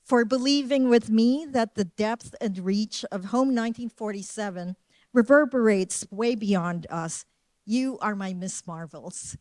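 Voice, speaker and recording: A woman whose first language is Filipino, giving formal, measured closing thanks as a curator. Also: medium pitch, darkish tone, no room sound. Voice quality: formal, measured delivery reading a list of thanks. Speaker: senior director of curatorial affairs. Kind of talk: prepared closing remarks.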